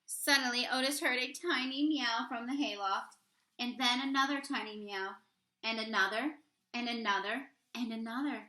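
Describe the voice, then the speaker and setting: High, bright woman reading a storybook. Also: moderate pace, reverberant, low-quality recording. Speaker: read-aloud storyteller, teacher reading to children. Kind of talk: expressive read-aloud of a children's picture book.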